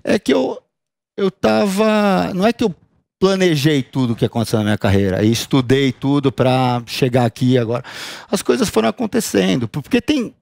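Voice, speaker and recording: A middle-aged man from São Paulo with a Paulistano accent, a guest answering interview questions thoughtfully, pausing and restarting his sentences. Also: fairly high pitch, lively intonation, gravelly, darkish tone, no room sound. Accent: Paulistano Brazilian Portuguese. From São Paulo, Brazil. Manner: thoughtful, halting, restarts often. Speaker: guest, sales and operations director.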